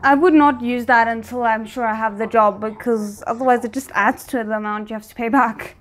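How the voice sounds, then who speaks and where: Female reaction host, higher-pitched, casual, addresses on-screen characters directly. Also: fairly even intonation, brisk, slight background noise. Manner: talks back to the characters on screen.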